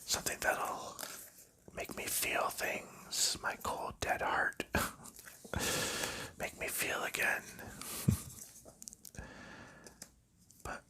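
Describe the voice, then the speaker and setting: A man chatting softly in a whisper for an ASMR video, rambling and chuckling lightly now and then. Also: very slow, pauses often. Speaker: ASMR creator.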